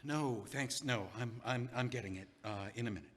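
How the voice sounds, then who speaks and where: An actor playing a heartbroken young man who was just left, talking in a halting, stammering, dazed way in an audio drama. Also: medium pitch, a bit bright, slightly rough, long reverb tail.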